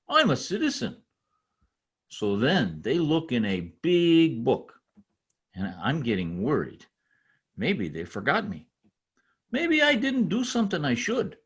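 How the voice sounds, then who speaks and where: Excitable husky-voiced foreign immigrant. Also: male voice, fairly high pitch, heavily acted. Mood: cheerful, then worried. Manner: halting, simple English, earnest and enthusiastic. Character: excitable, proud immigrant tradesman, newly a citizen. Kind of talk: old-time radio comedy acting.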